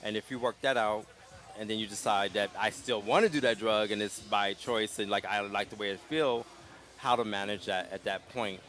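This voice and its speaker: A man hosting a casual live interview at an outdoor street festival, speaking in a calm, gentle, unhurried way. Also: lowish voice. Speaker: interview host.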